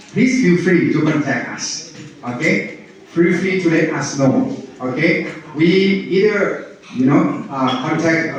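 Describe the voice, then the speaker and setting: A man from Thailand heading an organization's partnership team, addressing an audience at an event with halting phrasing, many fillers and restarts, and laughs at his own jokes. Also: fairly high pitch. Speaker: head of partnership engagement at a farming organization. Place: large hall at an event. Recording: echoey room, voice from far off, dull lo-fi sound.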